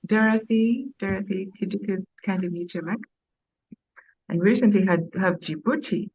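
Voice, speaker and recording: A woman's voice: medium pitch, normal speed, darkish tone, husky. A Ugandan woman co-hosting a live podcast, chatting casually and laughing easily. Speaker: podcast co-host. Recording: dull lo-fi online call audio.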